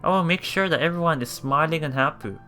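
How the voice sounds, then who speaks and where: A man's voice: on the high side, quick pace, sharp tone, faint music behind. A man voicing a desperate, determined young male protagonist of a visual novel, pleading and intense.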